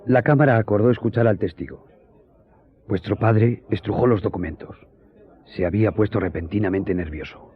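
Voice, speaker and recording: An actor in a radio drama playing an earnest friend who recounts a hearing in formal, measured storytelling. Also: male voice, on the low side, natural voice. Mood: grave, earnest. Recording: tinny, narrow-band audio.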